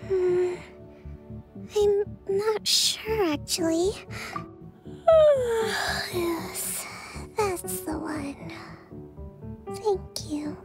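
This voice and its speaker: Gentle young female leader, soft breathy girlish tone, unhurried, dutiful temper, anime game with music underneath. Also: very high-pitched, full character voice. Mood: weary, murmuring. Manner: gentle, measured.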